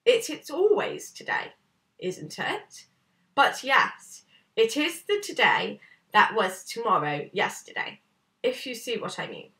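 A woman's voice: fairly high pitch, sing-song, takes it slowly, sharp tone, heavily acted. A woman voicing a cheerful, evasive male bear boatman from a storybook, waffling and politely reassuring. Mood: matter-of-fact. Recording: slightly distant camera microphone.

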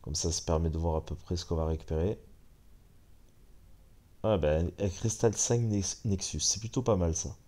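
A man's voice: low-pitched, normal speed, long pauses. A man with a French accent and a stuffy head cold, chatting casually as he comments on a mobile game. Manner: relaxed, chatty, many fillers. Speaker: gaming channel host.

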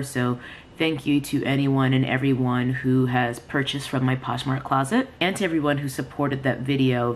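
A young woman talking candidly and casually to camera, like a vlogger, indoors at home with the camera at arm's length. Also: extremely low pitch. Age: young adult. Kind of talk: casual vlog chat to camera. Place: small home rooms, indoors. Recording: noticeable background room noise.

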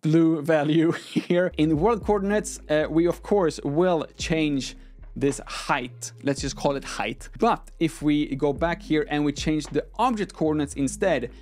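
Higher-voiced young man teaching step by step. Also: measured pace, a little mellow, faint music behind. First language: not English. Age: young adult. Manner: explanatory, step-by-step, informal. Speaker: tutorial host. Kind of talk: conversational software tutorial. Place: small room at a desk, indoors. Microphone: close microphone on an arm.

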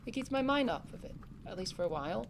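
A woman's voice: medium pitch, expressive, fast, noisy background, close to an everyday voice. A woman playing a protective, caring young boy, a capable big brother, in a family audio drama, speaking in an earnest, reassuring way. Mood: reflective, earnest.

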